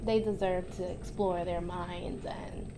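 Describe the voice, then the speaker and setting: A woman's voice: fairly low pitch, steady tone, a little slow, background noise. A young woman from New York, a farm co-founder, chatting casually on a podcast with lots of fillers and hesitations.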